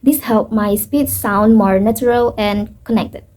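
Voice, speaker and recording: Woman, mellow, somewhat high voice, plain reading. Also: a little slow. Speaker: student. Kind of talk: class presentation on intonation.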